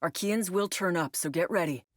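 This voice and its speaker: An actress playing a military woman leading a squad in a sci-fi shooter game. Her voice is on the low side and recorded very dry. She gives mission orders in a clipped, instructive manner. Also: normal speed, dry recording, close to an everyday voice. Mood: focused, commanding. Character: female military operative briefing squad on alien parasite missions. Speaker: mission briefer and incursion leader. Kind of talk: scripted video game mission briefing.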